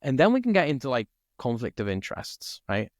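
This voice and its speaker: A man from Italy co-hosting a podcast, chatting casually and thinking out loud, often checking in with "right?". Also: mid-range voice, wildly swooping pitch, a little fast, no echo, clean studio sound. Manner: thinks aloud, peppers speech with "like" and "right?". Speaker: podcast co-host. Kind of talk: casual conversation.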